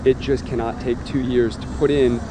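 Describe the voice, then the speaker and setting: A man's voice: medium pitch, level tone, moderate pace. A man giving an earnest interview outdoors beside a street, as a safety advocate. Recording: outdoor street with clear traffic noise.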